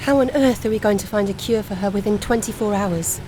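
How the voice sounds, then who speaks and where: A woman's voice: medium pitch, a little fast, mellow, slight background noise, natural voice. A woman playing a worried, practical young woman in an audio play, polite and earnestly questioning. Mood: anxious.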